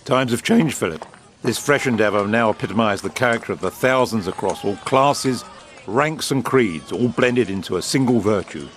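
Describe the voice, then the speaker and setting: Man playing a low-voiced, suave British wartime official. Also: lively intonation, a bit bright. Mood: proud, assured. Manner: urbane, eloquent, measured, grandiloquent. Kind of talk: period audio drama acting.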